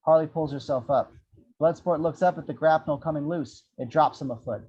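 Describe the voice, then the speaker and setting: Higher-pitched man narrating, dull lo-fi sound. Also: brisk, darkish tone. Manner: irreverent, wry asides. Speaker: narrator reading screenplay action descriptions. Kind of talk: animated table-read narration of an action script. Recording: dull, lo-fi audio.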